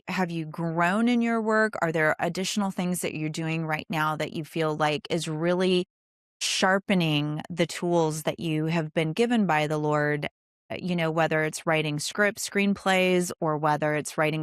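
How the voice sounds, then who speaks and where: A woman with a lowish, smooth voice hosts a conversational interview. She is earnest and affirming and lets her sentences run long, in a very dry close recording. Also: dry recording. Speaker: interview host. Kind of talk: conversational faith-based interview.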